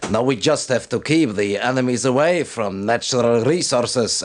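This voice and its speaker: An actor playing a stern, devout military commander who gives solemn, formal and deliberate briefings in a video game. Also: male voice, medium pitch, lightly acted. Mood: firm, urgent.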